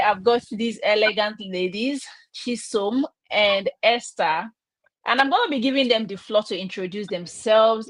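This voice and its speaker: A woman's voice: mid-range voice, no echo. A woman from Nigeria hosting a live online chat, upbeat and inviting.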